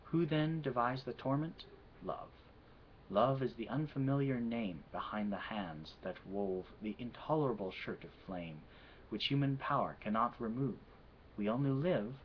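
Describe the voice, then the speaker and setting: A man with a Midwestern accent answering an accent questionnaire and slowly reading a poem aloud, with hesitant pauses. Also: on the low side, slight background noise. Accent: Midwestern American. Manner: measured and soft-spoken, with hesitant "um"s. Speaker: vlogger answering an accent challenge. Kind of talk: casual answers and slow poetry reading. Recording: quiet, dull lo-fi home recording.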